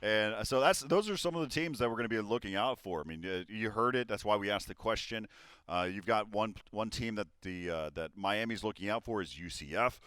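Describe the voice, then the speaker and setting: A man's voice: lowish voice, brisk, fairly bright tone, smooth, no echo. A young man casting esports, hosting a live desk wrap-up in an upbeat way with many fillers. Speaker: esports caster and host.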